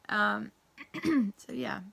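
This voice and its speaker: Woman, hoarse and gravelly, husky, rambling casual vlog chat, swears a lot. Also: a little high, extremely slow, sharp tone. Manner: profane, rambling, frequent fillers. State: losing her voice, croaky. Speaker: witchcraft vlogger. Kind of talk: casual tag-video chat.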